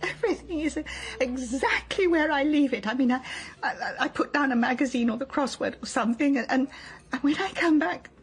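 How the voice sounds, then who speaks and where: A halting, tearful older wife. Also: female voice, high-pitched, animated. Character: older wife, lonely, shaky, fretful. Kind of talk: radio drama acting.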